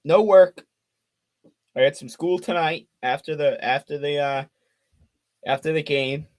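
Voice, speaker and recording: A man of twenty giving fan play-by-play on a live stream, calling the action quickly and chatting with viewers between plays. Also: on the high side, a little mellow. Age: 20s. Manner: rapid call of the action mixed with chatty asides to viewers.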